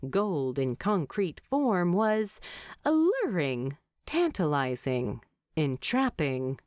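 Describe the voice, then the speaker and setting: A woman narrator reading fan fiction aloud in an expressive storytelling style. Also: medium pitch, dry recording, clean studio sound. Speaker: audiobook narrator.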